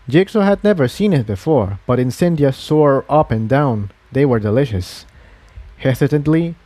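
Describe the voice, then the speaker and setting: A man's voice: mid-range voice, mellow, no echo. A man reading scripted fiction aloud as its narrator.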